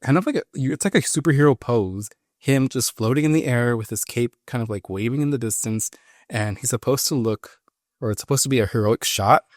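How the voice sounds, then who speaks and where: Male podcast co-host, casual film chat, halting delivery, mid pitch, dry sound. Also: dry recording.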